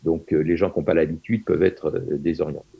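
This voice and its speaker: A man's voice: rumbling low, fairly even intonation, quick pace, creaky, breathy edge, lo-fi sound. An older man in his seventies from France, a Yiddish teacher being interviewed over a video call, hesitant with many fillers and restarts.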